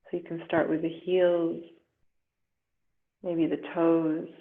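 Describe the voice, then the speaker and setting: A woman with a slightly low voice guides a relaxation meditation very slowly and gently, leaving long pauses. Her recording is roomy and echoing, with a thin, muffled, phone-like sound. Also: flat delivery. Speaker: yoga instructor.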